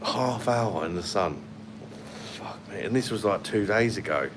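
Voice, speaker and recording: A man with a London accent, his voice sitting very low, chats loosely through a livestream from a hotel room at night. He is blunt and swears freely while reading out comments, holding the phone at arm's length, with a faint air-conditioning hum behind him. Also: expressive, a little slow. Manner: blunt and sweary, laughs often. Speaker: livestream host. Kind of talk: casual live chat reading comments. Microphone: phone held at arm's length.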